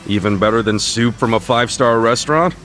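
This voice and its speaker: An actor voicing an easygoing, goofy, good-hearted man in an English-dubbed video game, talking in casual, friendly, expressive banter. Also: medium pitch, fairly quick, faint music behind, natural voice. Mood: relaxed, friendly.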